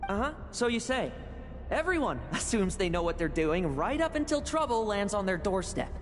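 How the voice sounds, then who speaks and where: A sardonic young schemer in a video game, kinda high voice, real expressive, blunt and tired of everyone's nonsense. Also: male voice, moderate pace, faint music behind. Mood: wry, skeptical. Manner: dry, blunt, lecturing.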